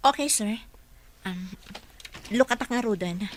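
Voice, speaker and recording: A woman's voice: medium pitch, unhurried, a little hiss or hum, natural voice. A woman playing a deferential office employee in an Ilocano radio drama, speaking politely with an Ilocano accent. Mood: polite, soothing. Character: deferential female office employee soothing her boss.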